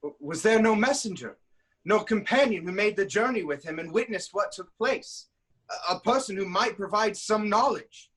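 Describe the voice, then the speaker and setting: A man playing a proud, commanding king of ancient Thebes in a staged reading of Greek tragedy, declaiming deliberately. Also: sits high, lively intonation, a bit bright, slightly rough, full character voice, poor audio. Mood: questioning.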